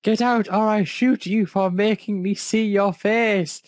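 A man voicing a rude, hot-headed male robot fast-food worker in a fan fiction reading. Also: sits high, steady tone, no echo, pitched above the performer's own voice. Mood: angry.

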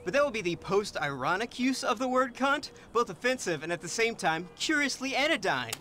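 An actor playing a smug, pretentious young hipster in a video game, pompous and blustering. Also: male voice, extremely high pitch, very animated, brisk, sharp tone, soft background music, exaggerated voice. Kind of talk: video game cutscene acting.

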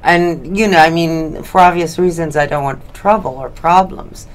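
A woman's voice: low-pitched, moderate pace. A middle-aged woman hosting a talk show from a small studio, chatty and blunt as she interviews a guest. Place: small studio with dark curtain.

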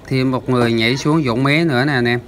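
A man's voice: medium pitch, fairly even intonation, fast talker, a bit bright, a little hiss or hum. A man hosting a fishing channel, explaining the footage in a chatty voice-over with lots of filler sounds. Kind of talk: casual voice-over commentary.

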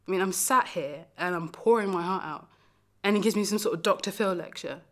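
A woman performing a dramatic monologue as a lonely, heartbroken young lover, confessional and emphatic, moving between hushed and forceful delivery. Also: lowish voice, unhurried. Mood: indignant, pleading. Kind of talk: dramatic stage monologue, self-taped acting showreel.

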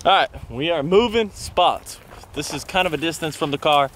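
A man's voice: on the high side, moderate pace, fairly bright tone. A young American man chatting casually and with upbeat slang for a fishing vlog, outdoors by the water. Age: young adult. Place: outdoors by a pond and creek. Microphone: handheld camera at arm's length. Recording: clear outdoor ambient noise.

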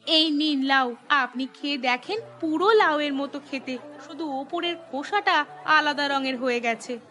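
A woman acts out a poor village housewife from a cartoon, polite and humble. Her voice is high and bright, with music playing behind it. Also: normal speed. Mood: eager, polite. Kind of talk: cartoon voice acting.

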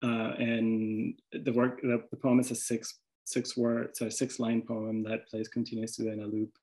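A man's voice: medium pitch, monotone, a little slow, a little mellow, low-quality recording. A man from Venezuela with a Venezuelan accent, an artist presenting his work over slides, hesitant, with many restarts and fillers.

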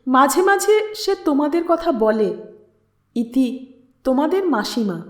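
A woman voicing a kindly, gentle middle-aged mother in an audio story, measured and affectionate. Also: sits high, very animated, exaggerated voice. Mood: warm, composed. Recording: echoey room.

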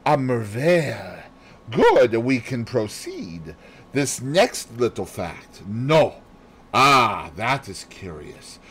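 A man voicing a fussy, excitable little Belgian detective in an audiobook, theatrical and precise, dropping in French phrases. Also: medium pitch, takes it slowly, husky, heavily acted. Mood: excited, methodical. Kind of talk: audiobook character voice.

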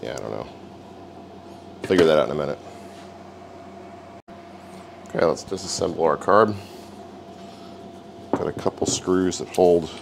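Very deep gravelly man, workshop repair talk. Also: normal speed, pauses often, slight background noise. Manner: relaxed, wry, talks while working. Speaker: repair video host. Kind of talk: casual hands-on repair narration. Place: home garage workshop.

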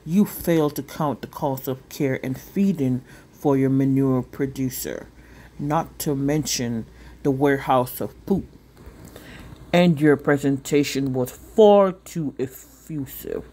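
A woman's voice: extremely low pitch, slow, mellow, heavily acted. A woman voicing a critical, businesslike father in a storybook, speaking formally and bluntly.

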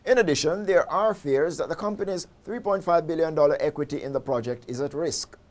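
A man in his forties with a Jamaican accent, presenting a scripted news report in a formal, measured newsreader delivery on a lapel mic in a studio. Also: a little high. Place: indoor studio.

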